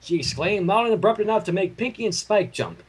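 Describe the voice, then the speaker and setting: High-pitched man theatrically narrating fan fiction. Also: animated, brisk, slightly rough. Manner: storytelling, theatrical. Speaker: story reader and narrator.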